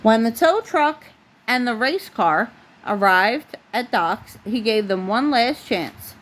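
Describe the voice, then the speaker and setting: A woman's voice: fairly high pitch, lively intonation, slow, a bit bright, slight background noise. A woman reading a children's storybook aloud as bedtime narration, as a parent would.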